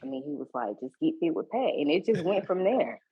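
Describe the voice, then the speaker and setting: A woman, a guest on a podcast, talking in a relaxed, casual way with repeats and restarts. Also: low-pitched, fairly quick, slightly rough, no echo, dull audio. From Georgia, US. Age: 30s. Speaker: guest, fitness coach.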